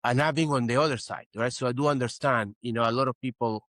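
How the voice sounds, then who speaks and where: A man's voice: medium pitch, fast, fairly bright tone, dry recording, clean studio sound. A middle-aged man from Peru, a guest physician in an interview, explaining earnestly and often saying "you know". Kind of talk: interview conversation.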